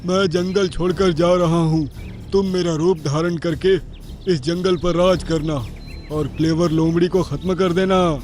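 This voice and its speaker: A man as a high-pitched regal lion king. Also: steady tone, measured pace, darkish tone, soft background music, close to an everyday voice. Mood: cunning. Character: measured, shrewd lion king of the jungle. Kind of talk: children's cartoon character acting.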